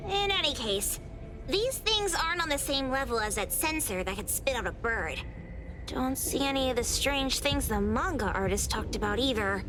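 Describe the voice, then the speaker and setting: A woman voicing an excitable, playful, impatient young girl in an anime game, with dramatic, exclamatory delivery. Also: high-pitched, normal speed, music in the background, low-quality recording. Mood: suspicious.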